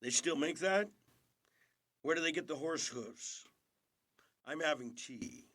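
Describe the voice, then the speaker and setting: An actor playing an aging movie star in an audio drama, a gentle, wry and understated older brother. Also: male voice, fairly high pitch, expressive, takes it slowly, no room sound, clean studio sound, heavily acted. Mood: attentive, dry.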